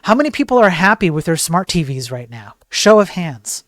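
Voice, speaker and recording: A man's voice: high voice, very animated, moderate pace, fairly bright tone, no echo, clean studio sound. A man giving opinionated tech news commentary on a livestream, emphatic and digressive, tossing in rhetorical questions. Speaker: tech news streamer and programmer.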